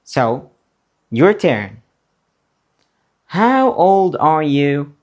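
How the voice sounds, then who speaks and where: Slow, lively-voiced male teacher. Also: fairly high pitch. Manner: slow, deliberate, repeats words for learners to copy. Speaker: English teacher. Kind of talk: slow beginner English lesson, drilling words.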